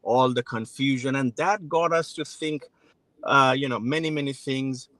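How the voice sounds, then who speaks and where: A man in his forties from Bangladesh talks as an interview guest, frank and reflective, often breaking off and restarting his thoughts. His voice sits at an ordinary middle pitch. The recording is close and dry. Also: normal speed, fairly bright tone, no room sound. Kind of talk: interview conversation.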